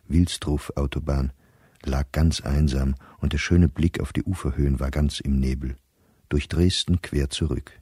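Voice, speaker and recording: Ein Schauspieler als verfolgter Gelehrter mittleren Alters, der in einem Hörspiel nachdenklich und knapp aus seinem Tagebuch spricht. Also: male voice, a bass voice, warm tone, husky, dry recording, studio quality. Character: persecuted middle-aged scholar reading his own diary. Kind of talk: radio play diary monologue.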